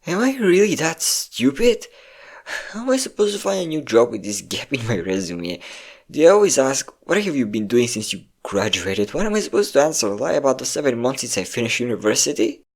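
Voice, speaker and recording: A man playing a depressed young man who tearfully confides in his best friend, halting and self-deprecating, in an intimate audio roleplay. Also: mid-range voice, very animated. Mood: distraught, self-blaming. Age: young adult.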